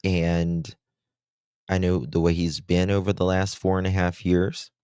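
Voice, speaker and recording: A man hosting a true-crime livestream in casual chat, with a deep voice and a slow, halting way of talking full of fillers. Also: flat delivery, a little mellow, smooth, no echo, studio quality. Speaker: livestream host.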